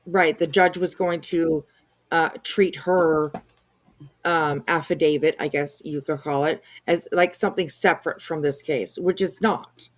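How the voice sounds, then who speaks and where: A woman co-hosting a casual commentary livestream, explaining things in a halting, rambling way. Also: sits low, steady tone. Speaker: livestream co-host.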